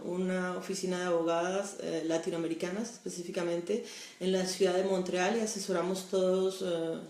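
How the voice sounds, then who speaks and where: A woman's voice: on the low side, a little mellow. A Colombian-born woman working as an immigration lawyer, answering viewer questions in a live stream, with frequent hesitations. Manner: hesitant, many fillers, explanatory. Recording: echoey room.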